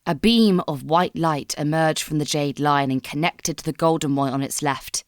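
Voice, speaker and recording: A woman narrating scripted dramatic fan fiction as a storyteller, in a measured, solemn manner. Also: sits low, no echo, clean studio sound.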